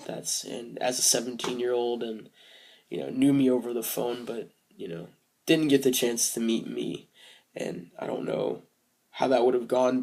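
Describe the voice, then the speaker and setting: Soft-spoken young man, halting and reflective. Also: medium pitch, vocal fry. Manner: hesitant, many fillers and restarts. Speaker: vlogger. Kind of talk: casual personal video diary.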